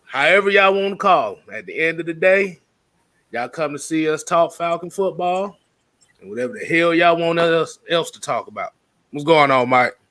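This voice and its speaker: A man's voice: on the high side, expressive, moderate pace, pushed and bright, dry recording. A man co-hosting a football fan live stream with casual, slangy, jokey banter and some swearing. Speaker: co-host of a football fan show.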